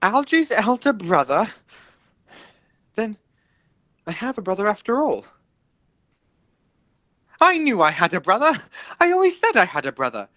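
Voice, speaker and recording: A woman playing an earnest, excitable young gentleman in an amateur radio comedy, formal and theatrical. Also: mid-range voice, unhurried, long pauses, dry recording, clean studio sound. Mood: elated.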